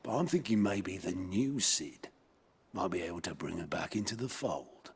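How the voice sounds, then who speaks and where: An actor playing a gruff, loyal second-in-command in a fantasy game, plain-spoken and very raspy. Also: male voice, sits low, expressive, normal speed, heavily acted. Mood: gruff, confiding. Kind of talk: scripted fantasy game dialogue.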